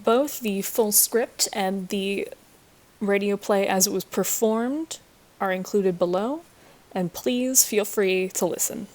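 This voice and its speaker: A woman's voice: medium pitch, slow pace, a little mellow. A young adult woman giving a scripted class presentation as a student, recorded at a computer. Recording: faint background noise.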